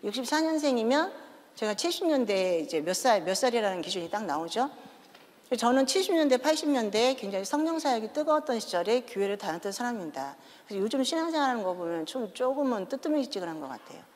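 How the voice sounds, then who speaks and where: A woman in her fifties with a Chungcheong accent, a pastor's wife giving a joking, animated testimony in a large church. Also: on the high side, quick pace. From Daejeon, South Korea. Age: 50s. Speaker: pastor's wife, guest speaker. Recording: echoey church hall.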